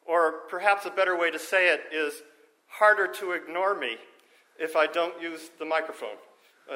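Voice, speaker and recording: A man speaks into a microphone before a live audience in a lecture room, his voice a touch higher than most. He talks informally and corrects himself as he explains, pausing to ask "okay?" to keep the room with him. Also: pushed and bright, echoey room. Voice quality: strong speaking voice. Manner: informal, self-correcting, frequent "okay?" checks. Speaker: conference speaker. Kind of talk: technical lecture on hearing and audio. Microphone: handheld or stand microphone.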